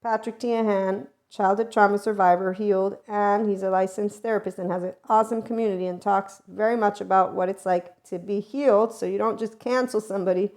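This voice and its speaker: Woman, middle-aged, podcast host, rambling emphatic monologue, average pitch. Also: steady tone, brisk, few pauses. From America and Italy. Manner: rambling, emphatic, shifting loudness often. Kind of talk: spiritual self-help monologue.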